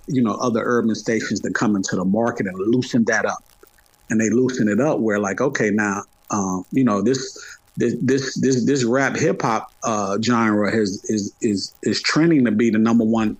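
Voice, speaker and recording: A man's voice: fairly low pitch, normal speed, breathy edge, dry recording, rough recording. A middle-aged man chatting casually in an interview, repeating phrases for emphasis. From Atlanta, United States. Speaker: podcast guest, hip hop podcaster.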